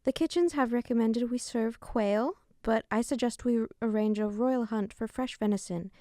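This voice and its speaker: Woman playing earnest fairy queen, higher voice. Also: dark tone, no echo, studio quality, lightly acted. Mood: courtly, concerned. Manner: formal, measured, polite. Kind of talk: audio drama acting.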